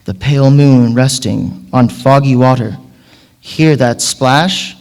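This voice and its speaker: A man voicing a wise, gentle old monkey in a storybook, speaking in sparse, measured, cryptic phrases. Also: mid-range voice, creaky. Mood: calm, musing. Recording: reverberant hall.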